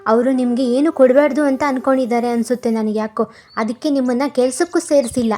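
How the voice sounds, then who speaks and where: A woman voicing a jealous, scheming young wife in a cartoon moral story, nagging and goading in a sharp, complaining manner. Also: high-pitched, fairly even intonation, quick pace, a little hiss or hum, natural voice.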